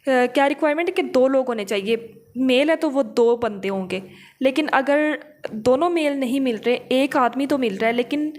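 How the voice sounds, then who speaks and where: A woman's voice: sits high, normal speed. A woman gives an explanatory law lecture in a didactic way, often checking in with short tag questions. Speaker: law teacher. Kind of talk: explanatory lecture, reading English legal text then explaining it.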